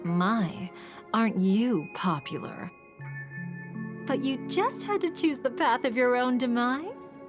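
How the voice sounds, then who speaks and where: An actress playing a treacherous, sultry villainess in a video game dub, with a mocking, theatrical drawl. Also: female voice, a little high, expressive, slow pace, music in the background. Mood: menacing, teasing. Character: taunting, treacherous, sultry villainess, adult woman schemer.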